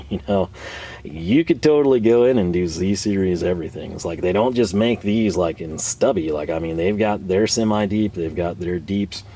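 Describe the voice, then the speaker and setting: A man hosting a tool video in his workshop, chatting casually and rambling with fillers, his voice a bit hoarse from a cold. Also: deep voice, normal speed, mellow. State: slightly hoarse, losing his voice. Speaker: tool channel host. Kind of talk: casual show-and-tell talk. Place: home workshop.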